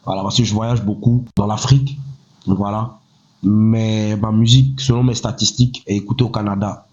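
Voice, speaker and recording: Self-assured Ivorian man, low voice. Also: steady tone, darkish tone. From Côte d'Ivoire. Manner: measured, self-assured, with repeated "voilà" and rhetorical "pourquoi". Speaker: rapper, interview guest. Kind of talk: casual interview talk. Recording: slightly muffled, lo-fi sound.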